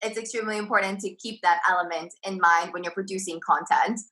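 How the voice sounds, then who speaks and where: A woman hosting an interview podcast, upbeat and asking quick follow-up questions. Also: medium pitch, steady tone, bright, forceful tone, rough recording. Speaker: podcast host.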